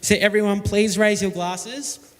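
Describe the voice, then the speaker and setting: A man's voice: high-pitched, fairly even intonation, measured pace. A man giving a jokey, reminiscing wedding toast to his friends. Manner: reminiscing, jokey, read from notes. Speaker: wedding speaker, friend of the couple. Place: wedding reception hall. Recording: long hall reverb.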